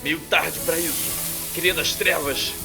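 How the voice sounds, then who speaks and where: A man's voice: on the high side, fairly even intonation, takes it slowly, creaky, bright, forceful tone, faint music behind, full character voice. A man voicing a valiant, battle-seasoned knight, terse, stern and formal in manner. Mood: stern bargaining.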